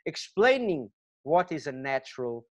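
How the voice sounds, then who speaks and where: A man's voice: a little high, big pitch swings, a little slow. A middle-aged man with a Portuguese accent, a Portuguese native speaker giving an earnest webinar lecture over a webcam, with many fillers and restarts. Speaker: gemology lecturer.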